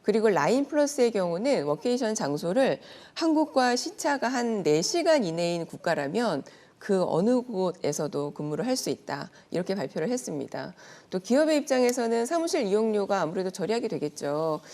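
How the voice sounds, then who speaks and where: A woman giving an expert briefing on a news programme. Her voice is soft-edged and mellow at a middle pitch, with lively rises and falls as she lays out information politely, one point after another. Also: normal speed, hardly any pauses. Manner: polite, explanatory, organized point by point. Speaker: expert guest commentator. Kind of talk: prepared explanatory news segment.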